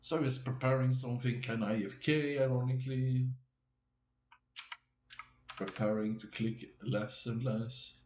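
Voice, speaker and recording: A man chatting casually on a live stream, rambling and haltingly thinking aloud. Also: medium pitch, steady tone, darkish tone, dull audio. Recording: roomy echo, some background noise.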